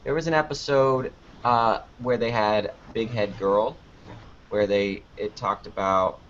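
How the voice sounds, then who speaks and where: A man with a fairly low voice answers interview questions casually. He talks in a joking, anecdotal way and voices bits of remembered conversations. The sound is somewhat dull and lo-fi. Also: steady tone, measured pace, fairly bright tone, a little hiss or hum. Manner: joking, anecdotal, acts out quoted exchanges. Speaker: podcast producer and video creator being interviewed. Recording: dull lo-fi audio.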